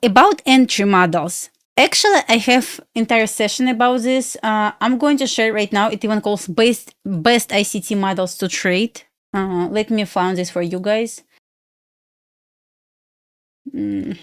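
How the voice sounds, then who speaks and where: A woman's voice: mid-range voice, moderate pace, hardly any pauses, dry recording, studio quality. Bubbly woman. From Belarus. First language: Russian. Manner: bubbly and giggly, with loose non-native grammar. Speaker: futures day trader streaming live. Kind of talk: casual live stream chat.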